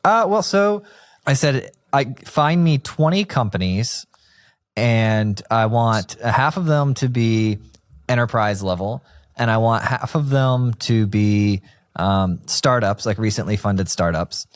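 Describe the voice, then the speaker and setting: A man's voice: mid-range voice, lively intonation, slow, dry recording, studio quality. A man hosting a podcast, chatting casually and telling stories with lots of "like" fillers. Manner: chatty storytelling, heavy use of "like". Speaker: podcast host.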